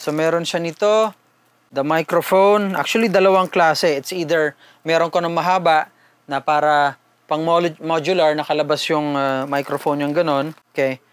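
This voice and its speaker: A man's voice: a little high, fairly even intonation, moderate pace, smooth, dry recording. A man with a Filipino accent doing a casual, conversational unboxing and product review, mixing two languages as he talks informally to viewers.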